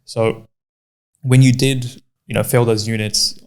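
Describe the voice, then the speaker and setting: A man's voice: medium pitch, flat delivery, measured pace, a little mellow, smooth. Easygoing young man hosting a podcast. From Pakistan. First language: Urdu. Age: 20s. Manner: easygoing and encouraging, with frequent "yeah" backchannels. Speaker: podcast host. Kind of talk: casual podcast chat.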